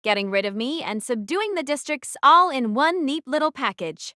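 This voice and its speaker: An actress plays a young woman who survived a deadly arena, telling her story in a high voice. She is introspective, troubled and defiant, hard on herself. The audio drama recording is close and dry. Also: expressive, normal speed, bright, forceful tone, no echo. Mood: stunned, brooding. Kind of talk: first-person audio drama narration.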